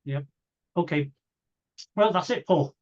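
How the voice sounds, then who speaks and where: A man's voice: on the high side, takes it slowly. A man interviewing casually, with hesitant, rambling and repetitive phrasing. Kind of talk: casual chat. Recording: dull lo-fi audio.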